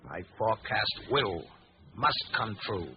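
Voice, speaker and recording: An actor playing a coldly vengeful stranger who speaks with measured theatrical menace, in 1940s radio drama style. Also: male voice, on the low side, lively intonation. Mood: menacing. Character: ominous male stranger foretelling death, coldly vengeful. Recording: muffled, noisy old radio recording.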